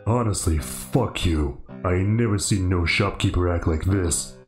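A man playing a blunt, foul-mouthed, fed-up customer in a comedy fandub, with a deadpan irritated delivery. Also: sits low, measured pace, echoey room, soft background music. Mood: fed up, scornful.

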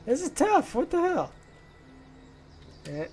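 A young man excitedly and chattily commenting as he plays a video game. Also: very high-pitched, takes it slowly, background noise. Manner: excitable, chatty, swears lightly. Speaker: let's play gamer. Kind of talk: casual gameplay commentary. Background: game music.

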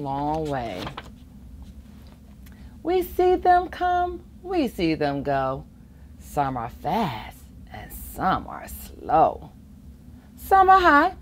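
A middle-aged woman reads a children's picture book aloud in a very slow, deliberate delivery. Her pitch swoops widely as she plays up the rhymes and adds playful asides. There is faint room noise behind her. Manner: expressive, chuckling asides, acts out the rhymes. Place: library children's reading corner, indoors.

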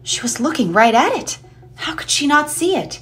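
A woman's voice: sits high, very animated, normal speed, fairly bright tone. A woman reading dramatic scripted narration for an eerie audio play. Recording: music under the voice.

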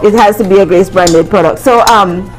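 A woman's voice: medium pitch, moderate pace, over music, rough recording. A middle-aged woman, chatting as a brand representative as she explains promotions and products. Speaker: brand representative guest on a cooking show. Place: TV studio kitchen set. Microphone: lapel mic.

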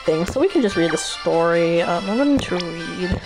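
Female game streamer, lively swinging pitch, blunt and chatty, swears freely, game music underneath. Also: moderate pace, rough recording. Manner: blunt, playful, rambling. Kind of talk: casual gameplay commentary with swearing.

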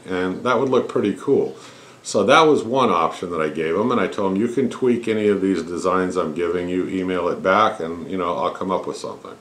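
A man's voice: sits low, a bit bright, slight background noise. A man in his fifties explaining things step by step in a casual, folksy way, as the host of a hands-on tutorial. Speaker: host of a home welding tutorial channel. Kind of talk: casual explanatory shop talk. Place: cluttered home garage workshop.

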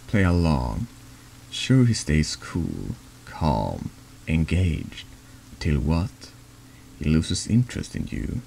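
A man reading a horror text game aloud in a soft ASMR narration style, halting and stumbling over longer words. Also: extremely low pitch, vocal fry, a little hiss or hum.

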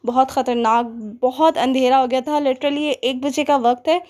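A woman's voice: high-pitched, little pitch movement, normal speed, a little mellow. A young woman with a Pakistani Urdu accent narrating a shopping vlog in a chatty, rambling way with many fillers. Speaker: vlogger.

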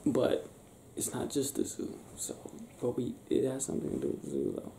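A young man doing an improvised ASMR boyfriend roleplay, talking softly and affectionately in a breathy, teasing, near-whispered way. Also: mid-range voice, sing-song, moderate pace. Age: young adult. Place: small bedroom, lying on a bed indoors. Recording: roomy sound with faint background noise.

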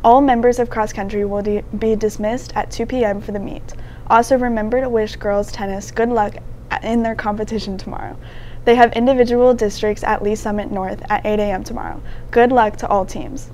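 A woman's voice: mid-range voice, fairly even intonation, a little fast, mellow, a little hiss or hum. A teenage girl co-anchoring a school newscast and reading sports announcements. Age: teens. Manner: scripted announcements. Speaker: student news anchor. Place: school TV studio desk.

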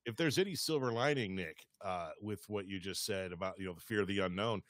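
A man's voice: low-pitched, fairly quick, fairly bright tone, dry recording, clean studio sound. A middle-aged man hosting a podcast in a casual, chatty style. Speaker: podcast host.

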